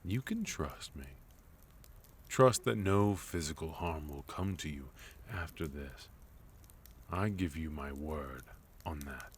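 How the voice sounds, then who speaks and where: Man voicing a very deep, courteous villain leader. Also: lively intonation, a little hiss or hum, full character voice. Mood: calmly guarded. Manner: formal, polite, measured, with deliberate pauses. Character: sophisticated, courteous villain leader, calm and quietly menacing. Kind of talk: ASMR roleplay, intimate second-person acting.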